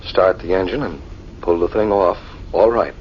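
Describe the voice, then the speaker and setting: Man acting a low-voiced brave companion, radio horror. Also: natural voice. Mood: quietly reassuring. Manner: measured, hesitant pauses. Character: brave, reassuring male companion trapped in a dark tomb. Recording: dull, faintly noisy old radio recording.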